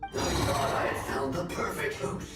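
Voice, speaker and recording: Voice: medium pitch, steady tone, a little slow. An actor voicing a menacing, gloating nightmare monster in a video game, with a theatrical delivery and a monstrous echo effect. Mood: gloating menace. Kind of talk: video game villain acting. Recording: heavy echo, distant, loud game music.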